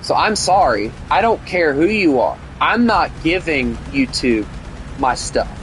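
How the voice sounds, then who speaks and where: A man's voice: fairly high pitch, sing-song, measured pace, fairly bright tone, slight background noise, low-quality recording. A young man with a Southern US accent, chatting casually with viewers while gaming, rambling and opinionated with lots of fillers. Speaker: gaming streamer. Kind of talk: casual gameplay chat with viewers.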